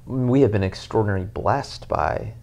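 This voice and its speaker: Male pastor, 30s, low gravelly voice; earnest, animated podcast chat on a lapel mic. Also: moderate pace. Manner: animated, earnest storytelling with many "you know" fillers. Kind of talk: casual podcast conversation. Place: living room, on a couch, indoors.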